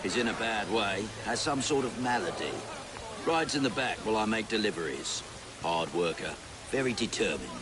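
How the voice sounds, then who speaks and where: A man's voice: lowish voice, expressive, moderate pace, noisy background, lightly acted. A man playing a chatty, kindly working-class beer delivery driver in video game dialogue. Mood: sympathetic. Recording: loud music behind the voice.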